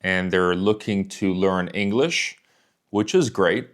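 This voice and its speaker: A male English teacher talks at a strikingly unhurried pace, spacing out each phrase for learners. His voice sits fairly low, and he often repeats words and phrases so listeners can catch them. The delivery is calm and patient throughout. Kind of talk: slow English listening lesson.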